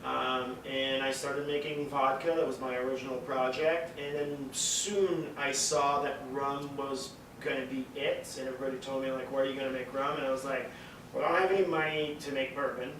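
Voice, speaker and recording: A man's voice: mid-range voice, fairly even intonation, moderate pace, fairly bright tone, slight background noise. Man, echoey distant mic. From Montreal, Quebec, Canada. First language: French. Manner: rambling storyteller, frequent fillers and swearing. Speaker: guest, distillery founder. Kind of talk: casual podcast interview.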